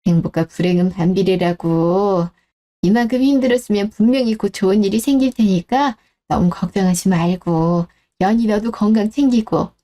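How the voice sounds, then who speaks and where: A woman voicing a gentle, self-effacing elderly mother in a radio drama who speaks in a soft, apologetic, consoling way. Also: medium pitch, normal speed, pitched below the performer's own voice, natural voice. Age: older.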